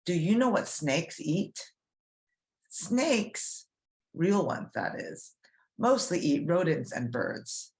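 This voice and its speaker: A woman's voice: on the low side, sing-song, slow, a little mellow, heavily acted. A woman reading the part of a friendly storybook snake who explains things simply to children. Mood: friendly, chatty. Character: friendly cartoon snake, former video game star. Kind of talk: children's read-aloud storybook.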